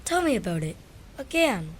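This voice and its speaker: A woman's voice: mid-range voice, big pitch swings, moderate pace, slightly rough. A woman playing a proud, brooding, wounded young orphan boy in an audio drama. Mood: sullen, devoted.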